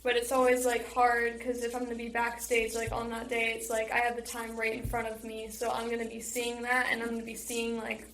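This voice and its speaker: A teenage girl gives casual interview answers in a high voice with little melodic movement, leaning on "like" as she goes. The room is clearly echoey. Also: monotone, fairly quick, few pauses, fairly bright tone. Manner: heavy use of "like". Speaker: student stage manager. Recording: roomy echo, faint background noise.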